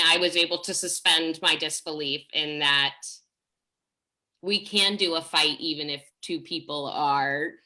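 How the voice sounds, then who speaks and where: A woman's voice: fairly low pitch, pushed and bright, poor audio. A woman speaking as a theatre director in a video call discussion, effusive and chatty, often cutting across her own sentences. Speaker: theatre director and fight choreographer.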